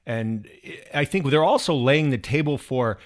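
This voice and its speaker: A man's voice: mid-range voice, lively intonation, measured pace. A man co-hosting a conversational discussion, explaining things methodically and putting questions to his partner. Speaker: co-host. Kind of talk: conversational podcast-style discussion.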